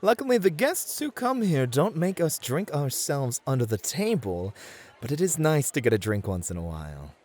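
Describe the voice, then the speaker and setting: Man acting courteous club host, very animated pitch. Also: moderate pace. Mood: polite, explaining. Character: courteous, playful male bunny-suit club host. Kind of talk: flirty ASMR roleplay acting.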